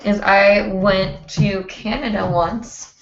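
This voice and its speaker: A young woman hosting a hoop dance livestream, hoarse and chatty, rambling with many fillers. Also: medium pitch, fairly even intonation, takes it slowly. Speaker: host. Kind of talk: casual livestream chat. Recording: roomy, dull lo-fi stream audio.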